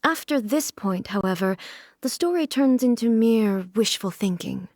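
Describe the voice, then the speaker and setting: Regal, aloof female shogun, fairly high voice. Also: expressive, a little mellow, no room sound, clean studio sound. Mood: reflective, composed. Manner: formal, measured, stately delivery. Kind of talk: video game character voice lines.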